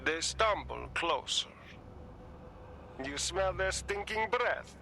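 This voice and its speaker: An actor playing a cold, menacing male mercenary villain in a video game, gloating and threatening in a deliberate way. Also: sits high, animated, gravelly, sharp tone, a little hiss or hum, heavily acted. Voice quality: sinister, threatening tone.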